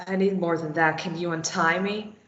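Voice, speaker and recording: A woman's voice: fairly low pitch, steady tone, normal speed, fairly bright tone, natural voice. A woman in a script table read, playing a snappy, sarcastic and desperate captive who argues back. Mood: irritated. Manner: snappy, argumentative. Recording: roomy, dull lo-fi sound.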